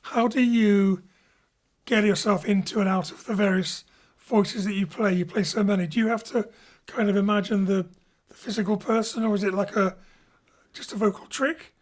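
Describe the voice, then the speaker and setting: A woman's voice: medium pitch, fairly even intonation, normal speed, a little mellow. A woman hosting a post-show interview as director, with reflective, rambling questions. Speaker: director and host.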